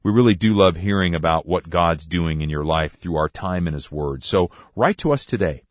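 A man with a very low voice hosts a radio ministry program. His delivery is friendly and measured, like a practiced announcer. The sound is dry and close, with no room around it. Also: expressive, no echo. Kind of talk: scripted radio introduction and letter reading.

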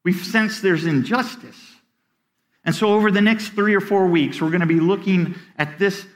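A middle-aged pastor preaches with an earnest, plain delivery. His voice sits high for a man, and he often stumbles over and repeats the first word of a sentence as he builds his point. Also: moderate pace. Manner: earnest, repeats words when starting sentences. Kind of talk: sermon.

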